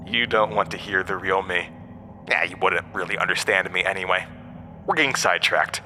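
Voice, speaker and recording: A man's voice: low-pitched, fairly quick, pushed and bright, a little hiss or hum. An actor playing a mysterious, mocking, weary undying male entity in a horror audio drama, speaking in theatrical, cryptic asides. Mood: taunting, sly. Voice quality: broken-windpipe voice, borrowed from another man.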